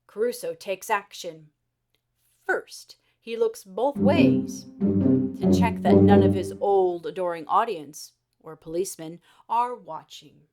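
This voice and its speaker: A woman storyteller reading a children's story aloud expressively, with theatrical emphasis and dramatic pauses. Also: medium pitch, dark tone, faint music behind.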